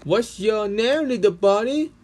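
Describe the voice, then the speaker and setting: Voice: mid-range voice, animated, a little slow, darkish tone, voice pushed up, close to an everyday voice. A performer voicing a cheeky, eager young cartoon hedgehog boy in an amateur comic dub. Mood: friendly.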